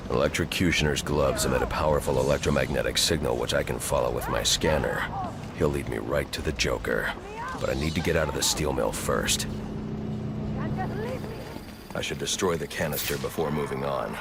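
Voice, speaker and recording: An actor playing a grim, commanding masked vigilante in a video game, speaking in clipped, stern, deadpan lines. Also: male voice, very deep voice, moderate pace, gravelly, very noisy. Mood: determined. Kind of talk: video game hero acting.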